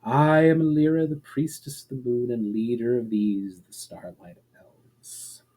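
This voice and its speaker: A man's voice: mid-range voice, fairly even intonation, a little slow, mellow, breathy edge. A man voicing a powerful, serene elven moon priestess in a storybook, with a stately, formal and solemn manner. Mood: gracious.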